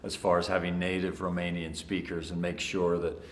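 A middle-aged man with a fairly low voice speaks upbeat thanks and congratulations as a company executive. He stands before a camera in a large, bright office, and the room adds a noticeable echo. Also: flat delivery, normal speed, slight background noise. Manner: upbeat and congratulatory, some fillers. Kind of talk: scripted-free video address to sales leaders. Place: large bright office with tall windows, indoors. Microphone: standing before a fixed camera, two-shot. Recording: echoey room.

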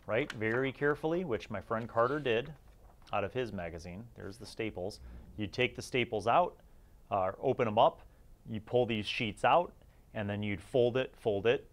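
A man giving a casual, rambling review as a channel host, informal and enthusiastic, thinking aloud. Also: mid-range voice.

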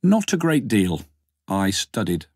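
A man's voice: on the low side, very animated, takes it slowly, a little mellow, husky, dry recording, exaggerated voice. A man voicing a cool, guarded woman in her early thirties in an audiobook, terse and dry in manner. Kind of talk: audiobook character dialogue.

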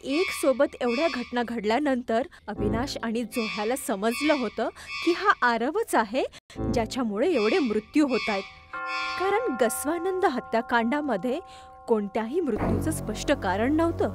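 A woman's voice: on the high side, lively intonation, moderate pace, music in the background. A woman narrating a scripted horror story.

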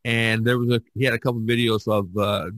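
A man hosting a casual podcast chat, speaking haltingly and often repeating his words. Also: mid-range voice, level tone, a bit bright, dry recording. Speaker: podcast host.

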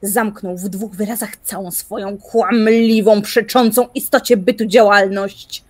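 A woman's voice: mid-range voice, steady tone, measured pace, a little mellow, natural voice. An actress playing an obsessive young woman musing aloud theatrically in a radio play. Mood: scornful, intense. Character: intense obsessive young woman, brooding philosopher. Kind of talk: radio play dramatic monologue.

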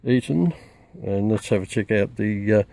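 An older Australian man casually demonstrating radios outdoors in a garden, relaxed and rambling with many fillers. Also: fairly low pitch, moderate pace, vocal fry. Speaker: radio hobbyist presenting receiver comparison. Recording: noisy outdoor recording.

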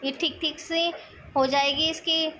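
High-voiced woman with a sore throat. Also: fairly even intonation, a little slow, sharp tone, a little hiss or hum. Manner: chatty, explains each step while stirring. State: sore throat, clearing it often. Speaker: cooking vlogger. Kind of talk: casual cooking demonstration. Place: home kitchen at a gas stove.

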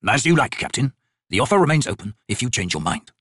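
A man voicing a grizzled, gruff veteran commander in a fantasy audiobook, growling, measured and authoritative. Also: mid-range voice, sing-song, fairly bright tone, dry recording, studio quality.

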